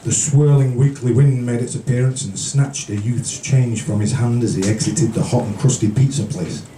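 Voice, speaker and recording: A man from the British Isles reading poetry live at a café event in a measured storytelling manner, with brief casual asides. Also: medium pitch, dark tone. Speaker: featured poet. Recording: roomy, distant, noisy live recording.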